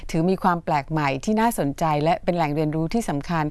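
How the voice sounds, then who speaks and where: A woman's voice: sits low, racing, darkish tone, clear, resonant tone, dry recording. A woman reads a formal, polite segment script at a racing pace. The sound is dry and close, with no room around her. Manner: polite, formal with repeated courtesy particles. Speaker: presenter. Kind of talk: scripted bilingual narration.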